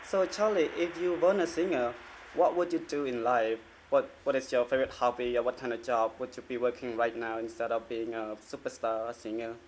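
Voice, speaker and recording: A man's voice: medium pitch, steady tone, a little fast, slight background noise. A man, a Khmer speaker, asking prepared interview questions.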